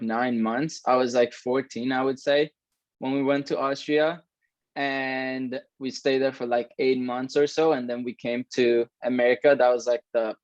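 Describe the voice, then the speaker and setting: A man's voice: mid-range voice, dry recording. Fields: man in his twenties, from Iran, casual swearing talker, dull dry recording. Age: 20s. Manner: loose, swearing, lots of "like" and "right?". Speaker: co-host. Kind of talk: casual chat.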